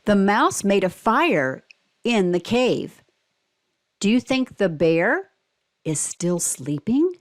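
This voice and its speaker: Expressive woman reading and teaching, lively pitch. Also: slow, pauses often. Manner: expressive, instructional, child-directed storytelling. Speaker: read-aloud presenter for language teaching. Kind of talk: scripted instructional narration and picture-book read-aloud.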